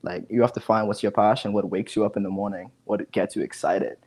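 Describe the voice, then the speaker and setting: Man, twenties, slightly low pitch, informal halting interview answers, earphone mic at home. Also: steady tone, darkish tone. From the Bahamas. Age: 20s. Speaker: guest, digital marketing specialist. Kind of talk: casual interview chat. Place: small room at home, video call. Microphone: earphone headset.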